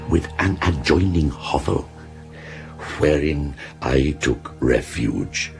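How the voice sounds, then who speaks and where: An actor playing an articulate, sorrowful outcast monster with a gravelly voice, telling his tale in formal archaic speech in a radio drama. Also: extremely low pitch, exaggerated voice. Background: music.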